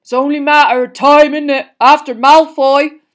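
A woman voicing a big, gruff, drunken gamekeeper in an audiobook, miserable and hot-tempered, with thick, growling, rustic speech. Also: high-pitched, steady tone, moderate pace, pitched above the performer's own voice, natural voice. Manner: rustic, colloquial, emphatic speech.

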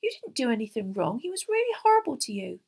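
Woman playing an insistent, high-voiced young girl. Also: very animated, quick pace, vocal fry, a little mellow, husky, exaggerated voice. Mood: earnest. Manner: insistent, reasoning.

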